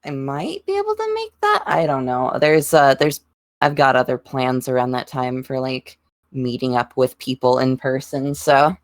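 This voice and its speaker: A young woman speaks offhandedly as a podcast guest. Her voice is very low for a woman. The recording is dry, with no room sound. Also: sing-song, moderate pace, no echo. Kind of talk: casual chat.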